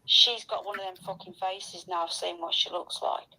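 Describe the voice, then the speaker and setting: A woman's voice: fairly low pitch, steady tone, normal speed, sharp tone, low-quality recording. A woman ranting bluntly and aggressively with heavy swearing, in a replayed phone voice message. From Northern England.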